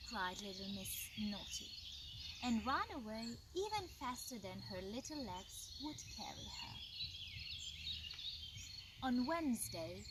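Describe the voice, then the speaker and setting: A woman reading a bedtime story to children in a deliberate, expressive way. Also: on the high side, very noisy. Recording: distant mic, loud insect and bird ambience.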